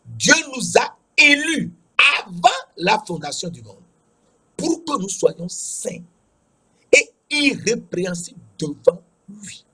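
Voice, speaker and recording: A man with a West African French accent preaching a sermon in an emphatic, repetitive way, with a husky, rough-edged voice. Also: high-pitched, big pitch swings, slow, sharp tone. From Togo. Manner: emphatic, repeats key phrases.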